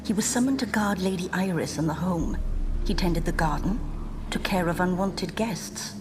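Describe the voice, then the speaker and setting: An actress playing an aloof, enigmatic spirit in animal form, speaking in a measured, wry, formal way in a video game. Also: female voice, fairly low pitch, over music, close to an everyday voice. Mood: matter-of-fact.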